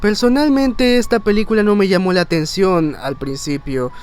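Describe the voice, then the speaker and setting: A man's voice: high voice, normal speed, clean tone, faint music behind. A man narrating an informal countdown video in a wordy, explanatory, conversational way. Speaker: video narrator, content creator.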